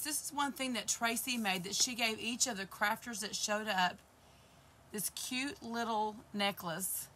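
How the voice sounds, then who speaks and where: A woman in her fifties with a hoarse voice, chatting to viewers as she works through a live craft project in her craft room. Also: on the high side, bright, forceful tone, slight background noise. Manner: chatty, greets viewers while working with her hands. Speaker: craft channel host. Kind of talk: live crafting stream chat. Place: indoor craft room with shelves. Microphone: camera facing her across the table.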